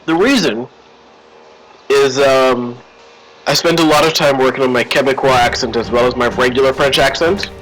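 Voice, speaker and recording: A man's voice: medium pitch, moderate pace, fairly bright tone, poor audio. A man casually commenting on a game stream, joking and teasing his chat in a sarcastic tone. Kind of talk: casual live-stream commentary while playing.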